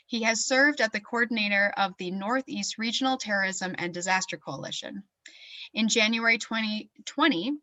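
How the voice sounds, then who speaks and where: Bright, dry-sounding woman, forum moderator reading a speaker bio over a video call, a few stumbles. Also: mid-range voice, no echo, low-quality recording. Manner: reads a speaker bio with small slips and fillers. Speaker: event organizer and moderator. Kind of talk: introducing a speaker at an online forum.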